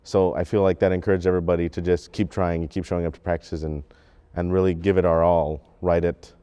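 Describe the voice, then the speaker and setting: Young male interviewee, notably deep and resonant, casual reflective delivery. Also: flat delivery, fast talker, dark tone, clean tone. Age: teens or young adult. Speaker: high school drama cast member.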